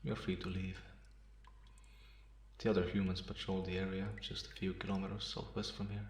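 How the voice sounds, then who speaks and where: A man playing a calm, teasing half-vampire in an ASMR roleplay, pausing often and sounding softly amused and restrained. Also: deep voice, lightly acted. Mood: cool, detached. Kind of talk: slow ASMR roleplay monologue. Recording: roomy echo, faint background noise.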